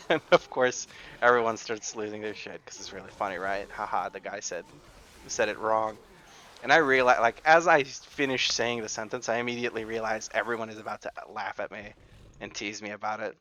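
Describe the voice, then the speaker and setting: A man's voice: on the low side, steady tone, moderate pace, pushed and bright, a little hiss or hum. A man with an American accent giving chatty, rambling gameplay commentary and often correcting himself. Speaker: gaming YouTuber.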